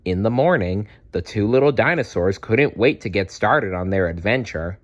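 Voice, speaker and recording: A man reading a children's storybook aloud in an expressive, unhurried way, adding small asides. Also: fairly low pitch, dull audio. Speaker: children's book read-aloud narrator.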